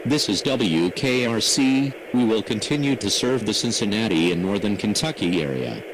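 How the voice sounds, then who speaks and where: Low-voiced man reading emergency alerts, noisy audio. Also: lively intonation. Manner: formal, measured, impersonal. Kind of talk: scripted emergency broadcast announcement.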